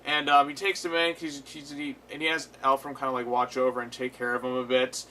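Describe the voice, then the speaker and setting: A man's voice: medium pitch, little pitch movement, a little fast, bright, forceful tone. A man giving a casual, unscripted episode review as host, retelling it animatedly with frequent swearing. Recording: clear background noise.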